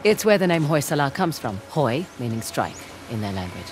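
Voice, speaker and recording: A woman acting as a composed, knowledgeable treasure hunter in a video game, with a measured, dry manner. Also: very deep voice, very animated, over music. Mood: thoughtful, knowing. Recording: clear outdoor ambience and vehicle noise.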